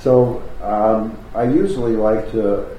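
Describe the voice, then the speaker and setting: A man's voice: lowish voice, steady tone, slow, mellow, reverberant, a little hiss or hum, low-quality recording. A man conducting an oral history interview in a university office, talking with many fillers and restarts.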